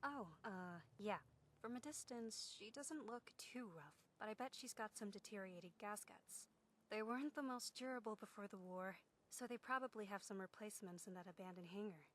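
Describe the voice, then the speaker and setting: A woman's voice: mid-range voice, normal speed, a bit bright, slight background noise, natural voice. A woman playing a friendly, geeky plane enthusiast in a post-apocalyptic video game, chatty and enthusiastic. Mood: casual, confident. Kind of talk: video game character dialogue.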